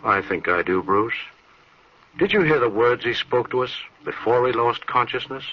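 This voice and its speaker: An actor playing a calm, fatherly clergyman in an old-time radio drama, speaking in a measured, solemn way. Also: medium pitch, close to an everyday voice. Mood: solemn, gentle. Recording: muffled, hissy old radio recording.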